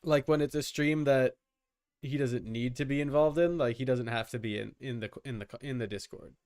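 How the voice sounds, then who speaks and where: A man's voice: mid-range voice, moderate pace, a little mellow, smooth. A man giving casual live gaming commentary as a streamer, informal, with muttered asides and mild swearing. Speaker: video game streamer.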